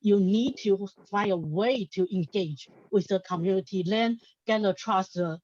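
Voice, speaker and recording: A woman's voice: medium pitch, measured pace, a little mellow, no room sound, dull audio. A woman from Taiwan with a Taiwanese accent speaks hesitantly as a panelist, repeating words as she works out her thoughts. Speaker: symposium panelist. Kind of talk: unscripted panel discussion.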